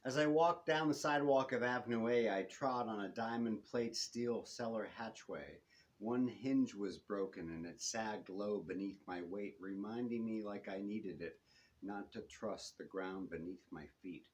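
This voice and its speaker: A man reading his own first-person detective novel aloud in measured short phrases that fall away at the ends, as at a live bookshop reading. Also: fairly low pitch, low-quality recording.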